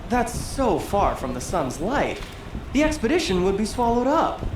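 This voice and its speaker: A man's voice: high-pitched, huge pitch swings, a little slow, echoey room, background noise, heavily acted. A young man playing a petulant, sheltered teenage noble in a video game, theatrical and haughty. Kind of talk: video game dialogue acting.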